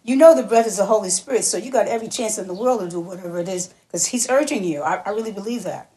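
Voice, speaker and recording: Husky woman reminiscing with frequent laughs. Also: medium pitch, fast, dark tone. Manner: laughs often, repeats words for emphasis. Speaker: interview guest, singer and stage actress. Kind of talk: relaxed reminiscing interview talk.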